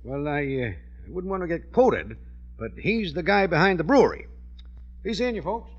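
A man's voice: on the high side, expressive, moderate pace. An actor playing a blunt, cagey American park workman in an old-time radio comedy. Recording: muffled vintage radio recording.

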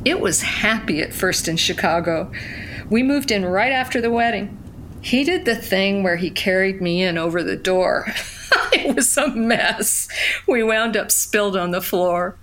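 A woman's voice: medium pitch, lively intonation, a little slow, fairly bright tone, a little hiss or hum. An actress playing a wistful woman who narrates memories of her lost love in a reflective, plain-spoken way. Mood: fond. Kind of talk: first-person dramatic storytelling.

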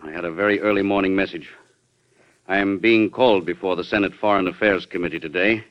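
An actor playing a worried, deferential Secretary of State in an old-time radio drama, hesitant and respectful. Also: male voice, low-pitched, fairly even intonation, fast, natural voice. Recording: dull lo-fi vintage radio recording.

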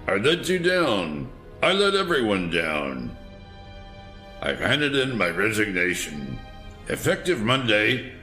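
An actor playing an earnest, regretful police commissioner and father in an audio drama, speaking gravely. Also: medium pitch, a bit bright. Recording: echoey, light music underneath.

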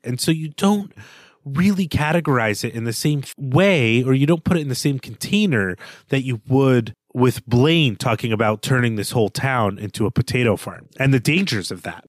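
A man's voice: fairly high pitch, dry recording, studio quality. A man co-hosting a podcast, discussing a novel in a thoughtful, casual way with frequent hesitations and fillers. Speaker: podcast co-host.

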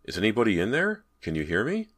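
A man's voice: rumbling low, expressive, normal speed, a bit bright. A man voicing an anxious, frightened young hero in a horror story, calling out urgently with a quaver.

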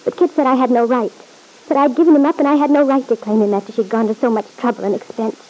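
A woman's voice: fairly high pitch, fast talker, dark tone, close to an everyday voice, low-quality recording. An actress playing an anxious, guilt-ridden young mother in an old-time radio soap opera, hesitant, plaintive and defensive. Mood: remorseful.

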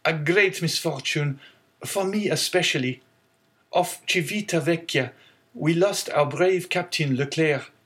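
A man's voice: on the high side, a little slow, fairly bright tone, voice pushed up. A man voicing an earnest, resolute young sailor who speaks with sorrow, in an audiobook reading. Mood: sorrowful.

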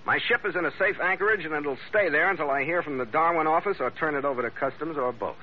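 An actor playing a tough, cynical sea captain in an old-time radio adventure, with a clipped, hard-bitten, wry delivery. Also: male voice, a little high, fast talker, lightly acted. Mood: cool, wry. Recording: muffled, noisy old radio recording.

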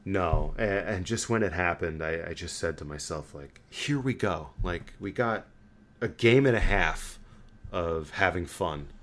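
A man hosting a podcast, chatting casually about sports in a halting, conversational way. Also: low voice, fairly bright tone. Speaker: podcast host.

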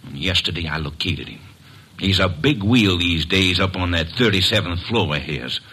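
A man playing a bitter, driven drifter bent on revenge in a 1940s radio drama, tense and husky. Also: low voice, expressive, moderate pace, sharp tone, exaggerated voice. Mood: grim.

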